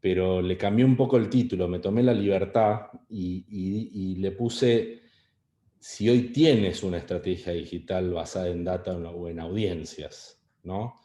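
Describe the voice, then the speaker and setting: Deep Argentine man in a roomy room. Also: fairly even intonation, unhurried, warm tone. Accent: Argentine Spanish. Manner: hesitant, many fillers and restarts. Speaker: webinar speaker. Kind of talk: online presentation.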